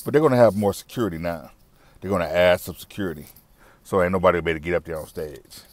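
A man's voice: low voice, fast, no echo. Blunt middle-aged man ranting in a car. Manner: blunt, repetitive, preachy rant with slang and cussing. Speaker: vlogger. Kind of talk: casual commentary to camera. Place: parked car interior. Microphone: wired earbud mic.

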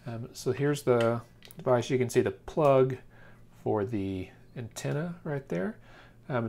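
An American man in his thirties or forties giving a casual, informal unboxing commentary, thinking aloud as he goes. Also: medium pitch. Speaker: product reviewer. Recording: clear background noise, handling clicks.

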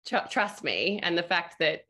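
Woman, bright forceful voice, slightly low, emphatic restarts, video call talkback. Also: very animated, moderate pace. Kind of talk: animated anecdote in a talkback.

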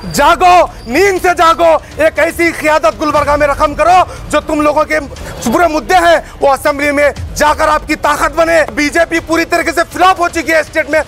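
A man gives an impassioned, forceful public statement as an activist, speaking emphatically outdoors to a news camera. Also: very high-pitched, vocal fry. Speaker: community activist giving a press statement. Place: busy open street outside shopfronts. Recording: outdoor street noise.

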